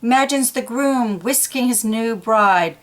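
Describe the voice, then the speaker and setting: A woman's voice: fairly high pitch, measured pace. A woman reading a picture book aloud to children, mixing storytelling with informal conversational asides about the pictures. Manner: explains pictures in informal asides between read lines.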